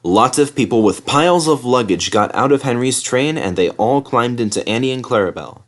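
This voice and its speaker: A man reads a children's storybook aloud. His mid-pitched voice moves in a lively, widely varied way through the scripted narration. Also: a little fast. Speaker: storybook reader.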